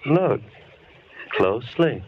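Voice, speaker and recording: A man's voice: medium pitch, big pitch swings, takes it slowly. An actor playing a disfigured madman who coaxes a young woman close, in an old radio drama. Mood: menacing. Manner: soft, coaxing and menacing. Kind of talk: 1930s radio drama acting. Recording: dull old radio broadcast with hiss.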